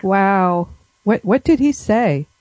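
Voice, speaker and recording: A woman's voice: mid-range voice, expressive, takes it slowly, a little mellow, no room sound. A woman hosting an interview, asking questions in an enthusiastic, encouraging way. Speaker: interviewer host. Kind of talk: conversational interview.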